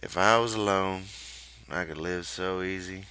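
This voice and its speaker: A man voicing a weary ranch hand who speaks quietly and flatly. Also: sits low, measured pace, clean tone, no room sound. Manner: quiet, flat, monotonous.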